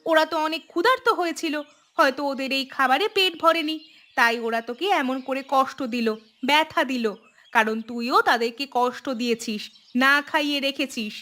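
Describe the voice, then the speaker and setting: A woman's voice: high-pitched, fairly quick, bright, forceful tone. A woman voicing a nagging, exasperated village mother in a cartoon, scolding and complaining. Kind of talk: cartoon voice acting.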